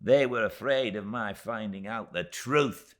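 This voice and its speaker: A man's voice: on the low side, measured pace, pitched above the performer's own voice, close to an everyday voice. A man reading a storybook and voicing a tiny, emphatic, indignant middle-aged woman in distress. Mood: indignant, anguished.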